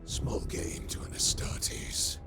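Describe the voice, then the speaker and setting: A man playing a dour renegade military officer in an audio drama, gravelly, dry and matter-of-fact. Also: takes it slowly, lightly acted. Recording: music under the voice. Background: dramatic music.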